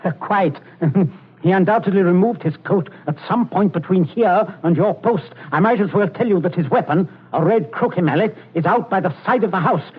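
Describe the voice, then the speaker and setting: An actor playing a pompous, scheming middle-aged English father with a British accent and a husky voice, in 1940s radio drama style. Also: high voice, normal speed. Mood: haughty, irritated. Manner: haughty, clipped, dismissive. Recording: tinny, narrow 1940s radio recording.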